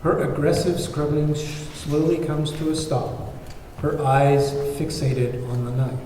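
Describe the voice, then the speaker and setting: Mellow male narrator in an echoey hall. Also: a little high, level tone, a little slow. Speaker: narrator reading stage directions. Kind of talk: scripted screenplay narration. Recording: very echoey hall, distant mic, faint noise.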